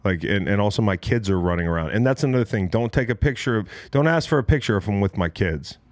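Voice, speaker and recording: Low, dry-miked American man chatting casually. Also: lively intonation, quick pace, no room sound. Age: around 40. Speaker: podcast host. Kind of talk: casual sports podcast banter.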